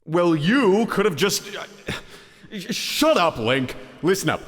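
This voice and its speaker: Swimming in long reverb, an exasperated godlike cartoon being acted by a man talks in a lively, swooping voice. He is earnest and hesitant, and easily flustered while presiding over his heroes. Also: high voice, slow, full character voice. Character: exasperated, godlike giant hand presenting his chosen heroes. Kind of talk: animated comedy acting.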